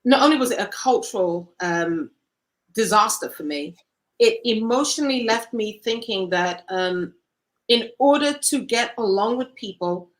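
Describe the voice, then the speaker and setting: Woman from Cameroon, interview guest, earnest and reflective, pitched a little high. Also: lively intonation, measured pace.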